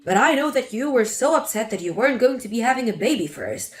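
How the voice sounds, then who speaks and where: A woman voicing a spiteful older mother-in-law who lectures and sneers, with a lowered voice. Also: very animated, fast, soft background music. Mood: self-justifying. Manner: scornful, lecturing.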